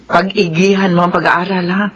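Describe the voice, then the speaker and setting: A woman's voice: lowish voice, flat delivery, moderate pace, a bit bright, husky. An actress playing a fretful mother of two grown sons in a Filipino radio drama, with a Filipino accent. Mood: worried, pleading.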